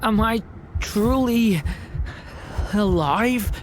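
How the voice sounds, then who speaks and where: A woman voicing a gentle, earnest and uncertain young dragon boy in a dramatic video game, speaking haltingly. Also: mid-range voice, animated. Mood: weak, struggling. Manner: hesitant, halting. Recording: faintly noisy game capture.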